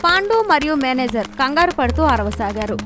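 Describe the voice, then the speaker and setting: A woman's voice: high voice, sing-song, normal speed, over music. A woman narrating a scripted moral story for children.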